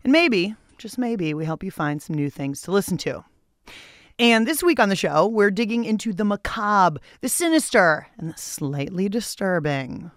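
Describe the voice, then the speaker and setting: A woman's voice: mid-range voice, lively intonation, moderate pace, dry recording, clean studio sound. An American woman hosting a radio show, reading scripted narration with playful, theatrical emphasis and casual asides.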